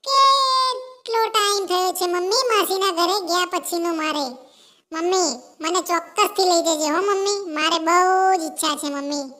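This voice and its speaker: A young cartoon sparrow chick, squeaking at an extremely high pitch. It is eager and caring, with an insistent, repetitive, pleading way of talking suited to a children's moral story. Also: moderate pace, bright, forceful tone. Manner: pleading, insistent, repeats itself. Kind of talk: animated children's story dialogue.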